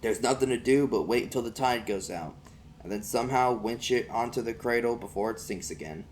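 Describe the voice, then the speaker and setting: A man's voice: mid-range voice, a little fast, slight background noise. A man reading an audiobook as an amateur, narrating in the first person in a folksy vernacular.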